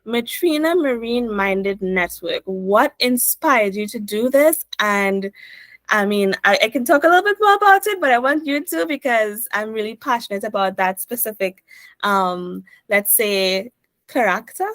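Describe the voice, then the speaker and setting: A woman's voice: on the high side, lively intonation, a little slow, fairly bright tone, dry recording. A woman hosting a podcast interview, opening the episode from a script and encouraging her guest. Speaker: podcast host.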